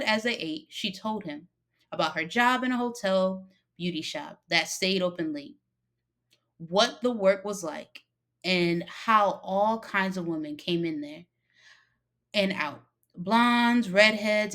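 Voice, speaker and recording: A woman narrating a short story expressively, with dramatic pauses and emphasis. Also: mid-range voice, a bit bright. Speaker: story reader.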